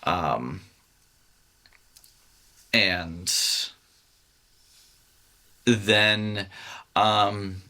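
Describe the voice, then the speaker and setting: A man, a music engineer guest, talking casually in an interview, pausing often with fillers. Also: deep voice, pushed and bright. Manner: hesitant, many fillers.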